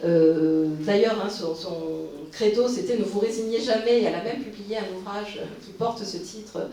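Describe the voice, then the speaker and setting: A woman's voice: mid-range voice, normal speed, mellow, distant mic, a little hiss or hum. A woman giving a public lecture, speaking hesitantly with many fillers and restarts. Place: conference hall. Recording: roomy hall recorded from afar.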